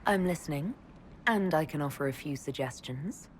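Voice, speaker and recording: A woman's voice: deep voice, expressive, moderate pace, in a large hall, a little hiss or hum. An actress playing a composed, dry-witted female elf mage leader in a video game, speaking plainly and wryly. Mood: teasing. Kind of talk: video game romance dialogue acting.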